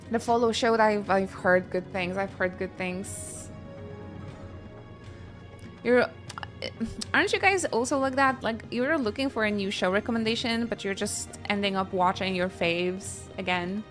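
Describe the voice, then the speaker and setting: A woman live-streaming a video game, chatting casually and reacting with playful exclamations. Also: medium pitch. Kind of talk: casual chat and reactions during gameplay. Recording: game music behind the voice.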